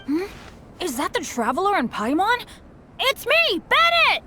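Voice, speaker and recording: A woman's voice: very high voice, huge pitch swings, a little slow, heavy background noise, full character voice. A woman playing an earnest, eager and apologetic young adventurer boy in a video game. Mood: urgent.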